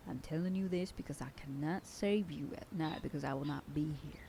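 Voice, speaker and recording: A woman's voice: low voice, a little fast, warm tone, slight background noise. A woman voicing a bossy female werewolf sheriff who lectures her pack in an ASMR roleplay, sometimes dropping to a whisper. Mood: stern briefing. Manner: stern, commanding, lectures a group. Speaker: voice actor.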